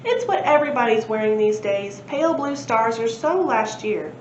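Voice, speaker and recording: A woman's voice: on the high side, lively intonation, normal speed, a little mellow, lightly acted. A woman voicing a clever, self-assured, fashion-conscious pony in a storybook, with a roomy recording. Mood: smug. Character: clever, fashion-conscious, smug magical ponies. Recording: roomy, dull lo-fi, faint noise.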